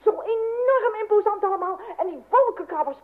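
An actress playing an excitable, worn-out young daughter with gushing exclamations, in an old-fashioned radio play. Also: female voice, extremely high pitch, a little fast. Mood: excited, gushing. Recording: tinny band-limited old radio recording.

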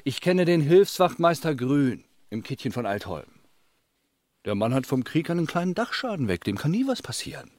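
An impatient schemer in a radio drama. His pitch swings widely as he cajoles and commands insistently. The sound is close and dry. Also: big pitch swings, normal speed, darkish tone, no echo. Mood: cajoling. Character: scheming, impatient man pushing an accomplice into a false confession. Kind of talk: German radio drama acting.

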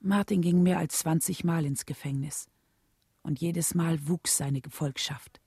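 An actress playing a thoughtful, steadfast widow recalling her husband in a German radio play, with a husky, breathy voice. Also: low-pitched, measured pace, warm tone, no echo, studio quality, full character voice. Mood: reflective. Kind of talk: German radio play acting, reflective first-person narration.

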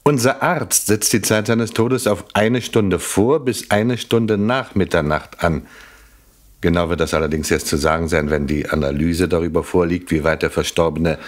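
An actor playing a shrewd, courteous British police inspector in a German radio crime drama, speaking in a measured, drily insinuating way. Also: male voice, low-pitched, lightly acted. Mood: probing, measured.

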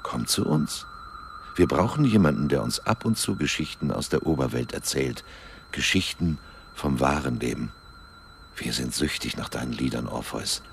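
An actor in a radio play voicing an ominous, coaxing, world-weary underworld dweller, solemn and deliberate, with a deep, gravelly voice. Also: male voice, expressive, a little hiss or hum, exaggerated voice.

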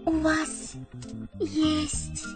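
Very high, slow, vain butterfly. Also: female voice, monotone. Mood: curious. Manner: drawn-out, self-admiring. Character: vain female chocolate butterfly, grand and curious. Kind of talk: radio play acting. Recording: music behind the voice.

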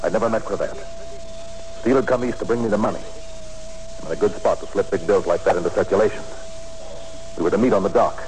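Casting: man playing murderous nightclub boss, 1940s radio noir. Rapid, terse, matter-of-fact menace over a humming old recording. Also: fairly low pitch, darkish tone, slight background noise, natural voice, rough recording. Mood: cold, menacing. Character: smooth, cold-blooded murderous nightclub owner with a gun.

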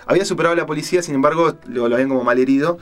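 Rioplatense guy on a radio show, chatting through a story, keeps stopping to find the words. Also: a little high. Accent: Rioplatense Spanish. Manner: hesitant, halting, searching for words. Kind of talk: casual storytelling conversation.

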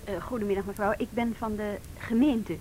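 Actress for principled juvenile-police woman, medium pitch, formal and uneasy, radio drama with light background rumble. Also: fairly even intonation, normal speed, close to an everyday voice. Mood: polite, reserved. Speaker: youth police worker. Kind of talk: Dutch radio drama acting. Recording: rumbling, noisy old radio drama recording.

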